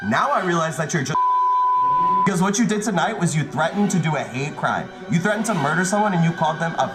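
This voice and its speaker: Furious man over loud music. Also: a little high, lively intonation, fast talker, low-quality recording. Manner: furious, threatening, stammering. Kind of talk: heated phone call.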